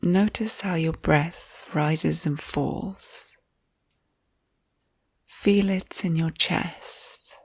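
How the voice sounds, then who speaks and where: A woman narrating a scripted guided visualisation in a soft, soothing, unhurried manner, with breathy phrase endings. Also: low voice, fairly even intonation, dry recording. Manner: soothing, unhurried, gentle instructions.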